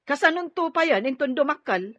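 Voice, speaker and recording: Woman playing a high, sharp scolding village woman. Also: lively intonation, a little fast, no echo, dull audio. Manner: scolding, confrontational. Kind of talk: Ilocano audio drama acting.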